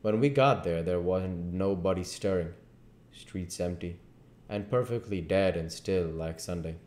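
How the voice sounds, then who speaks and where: A man in his thirties narrates a story in a low voice. He reads audiobook style at home, and the room adds clear echo. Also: darkish tone. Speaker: narrator reading a novel aloud. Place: living room at home, indoors. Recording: echoey room.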